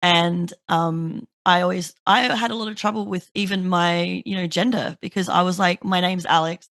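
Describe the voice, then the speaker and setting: A woman hosting a podcast with a deeper voice, telling stories in a chatty way and swearing freely. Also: steady tone, normal speed, fairly bright tone, no room sound, clean studio sound. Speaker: podcast host. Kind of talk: casual chat.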